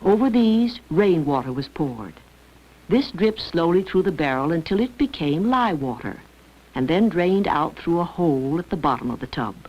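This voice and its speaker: A woman's voice: fairly low pitch, lively intonation, moderate pace, husky. A woman reading scripted narration for an educational film. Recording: dull lo-fi old educational film.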